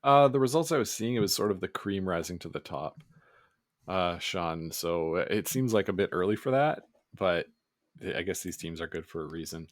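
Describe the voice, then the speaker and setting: A man co-hosting a sports podcast in a relaxed, laid-back way, his voice a little scratchy today. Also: sits low, moderate pace, no echo, clean studio sound. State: slightly scratchy voice. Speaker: podcast co-host. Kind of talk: casual sports podcast chat.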